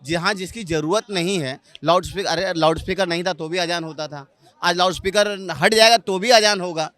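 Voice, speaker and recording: A man's voice: high voice, brisk, fairly bright tone, dry recording. A man from Banaras in Uttar Pradesh giving emphatic, assertive opinions in a street interview. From Banaras, Uttar Pradesh, India. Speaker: member of the public being interviewed.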